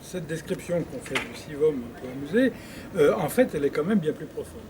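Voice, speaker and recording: A high-voiced man speaks as an interviewed local official, reflecting at length. His delivery is halting, with repeated words as he searches for phrasing, and there is clear background noise around him. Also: expressive. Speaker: former president of an intercommunal council, interviewed. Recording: clearly noisy background ambience.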